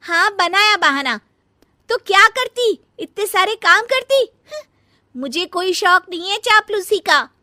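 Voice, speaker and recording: Woman voicing a sulky lazy cartoon daughter-in-law, very high bright voice, unhurried, dry close recording. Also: animated, dry recording, exaggerated voice. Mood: defiant. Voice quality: whiny, sulky. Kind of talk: cartoon voice acting.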